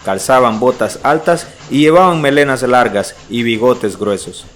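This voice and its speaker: An actor playing an earnest, uneasy traveller who narrates his diary in measured, literary style for a radio drama. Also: medium pitch, close to an everyday voice.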